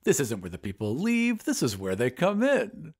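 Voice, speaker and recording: A man voicing a huge, jovial, self-important male doctor in a booming, hearty manner for an audio drama. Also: wildly swooping pitch, normal speed, heavily acted.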